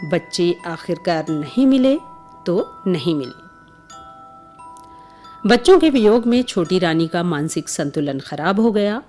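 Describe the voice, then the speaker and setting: Woman reading a kids bedtime story, takes it really slow, music with chimes behind her. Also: lowish voice, animated, warm tone. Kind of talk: scripted bedtime story narration for children.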